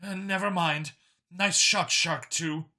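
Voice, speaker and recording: A man's voice: high-pitched, steady tone, slow pace, sharp tone, voice pushed up, heavily acted. A man voicing a brusque female combat squad leader on comms in an audiobook. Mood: businesslike. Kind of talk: audiobook character voice.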